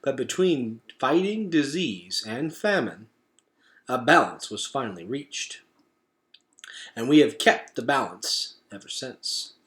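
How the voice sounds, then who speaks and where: A man voicing an ancient, wise old male turtle who speaks slowly and gravely, with weighty pauses, in a storybook read-aloud. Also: medium pitch, animated, fairly bright tone. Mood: solemn, recounting. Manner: slow, deliberate speech with weighty pauses.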